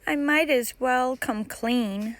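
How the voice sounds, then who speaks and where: A young pumpkin fairy from a storybook, acted by a woman reading aloud, speaks in a high, flustered voice. She is anxious and earnest, with an unhurried delivery and a close, dry sound. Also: a bit bright, no room sound. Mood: apologetic. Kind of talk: storybook character voice in a read-aloud.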